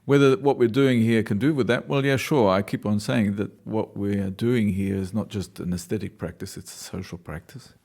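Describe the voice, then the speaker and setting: Male dancer-choreographer from Australia, low-pitched, reflective and hesitant delivery. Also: fairly even intonation, moderate pace, hardly any pauses, darkish tone. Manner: thoughtful, hesitant, restarts sentences. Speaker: dancer and choreographer, class leader. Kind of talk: reflective interview answers.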